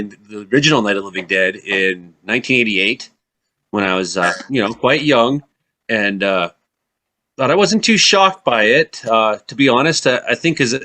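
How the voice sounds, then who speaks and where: A man's voice: medium pitch, bright, forceful tone. A middle-aged man hosting a radio show in a casual, chatty style.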